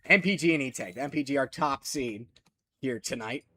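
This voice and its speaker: A man giving solo live commentary on a fighting game tournament, excitable and jumping to high shouts at big moments, his voice a little worn, from a small closet. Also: normal speed, soft background music. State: losing his voice. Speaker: esports commentator.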